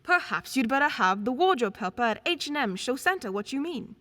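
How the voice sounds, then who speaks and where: A woman's voice: high-pitched, sing-song, a little fast, bright, forceful tone, full character voice. A woman playing a genial radio show announcer, with scripted old-time radio delivery. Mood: chatty, upbeat.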